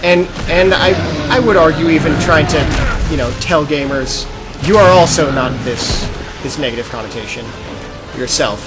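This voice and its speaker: A man co-hosting a gaming podcast, giving casual, halting commentary as he plays. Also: fairly high pitch. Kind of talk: casual commentary while playing a video game. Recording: loud game music and gunfire behind. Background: loud video game music and gunfire.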